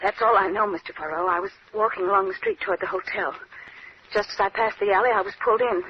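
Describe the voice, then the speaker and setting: A shaken, fast-talking young woman. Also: medium pitch, very animated. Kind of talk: old-time radio drama acting. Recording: muffled old radio recording.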